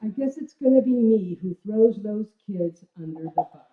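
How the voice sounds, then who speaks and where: A woman's voice: mid-range voice, expressive, normal speed, noisy background, lightly acted. A woman reading a story aloud as a blunt, folksy small-town male farrier, plainspoken and salty, in an echoey room. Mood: blunt, reluctant. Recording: echoey room, dull lo-fi sound.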